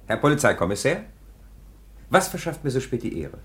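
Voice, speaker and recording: An actor playing a brisk, authoritative police officer on night watch in a radio play, curt and questioning. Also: male voice, medium pitch, big pitch swings, a bit bright. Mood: brisk, stern.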